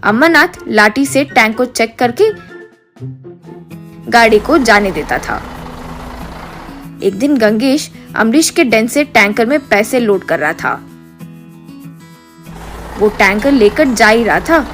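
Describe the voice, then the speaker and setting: Higher-pitched woman narrating a story. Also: animated, slow, long pauses, a bit bright, soft background music. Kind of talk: scripted narration of a comedy moral story.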